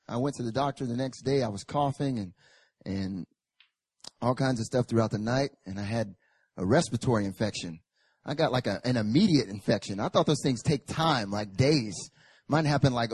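Raspy-voiced preacher man, casual then fervent. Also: mid-range voice, dry recording. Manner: casual and joking, rising to loud fervent Scripture reading and prayer. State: hoarse, recovering from a chest infection. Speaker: pastor. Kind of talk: sermon. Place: church service.

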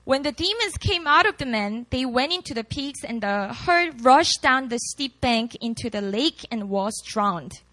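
A woman in her late twenties, a pastor with a Korean accent, chatting warmly and laughing at herself before reading scripture to a campus group. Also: a little high, lively intonation, a little slow, few pauses, no room sound, low-quality recording. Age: late 20s. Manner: chatty, self-deprecating, laughs often.

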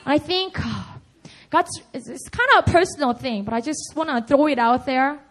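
A woman's voice: sits high, animated, normal speed. A young woman with a Korean accent, speaking English as a second language, giving an earnest personal testimony at a church service, laughing often and leaning on "you know". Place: church hall.

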